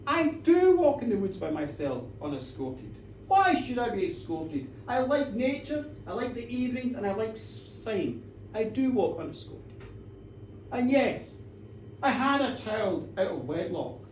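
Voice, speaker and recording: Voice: mid-range voice, big pitch swings, measured pace, a little mellow. A storyteller voicing a defiant, plain-spoken village woman in short, emphatic declarations. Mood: defiant, sorrowful. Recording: roomy echo, distant mic, faint noise.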